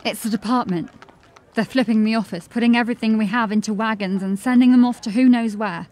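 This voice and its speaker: A woman's voice: a little high, fairly even intonation, fairly quick, darkish tone, lightly acted. Anxious, urgent woman constable, acted. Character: anxious, urgent young city watch constable, loyal. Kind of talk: fantasy audio drama acting.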